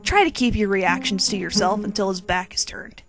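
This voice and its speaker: A woman's voice: medium pitch, normal speed. A woman reading a comic second-person story theatrically, with sassy, bossy asides to the listener. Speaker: narrator reading own humorous fan fiction. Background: quirky music bed.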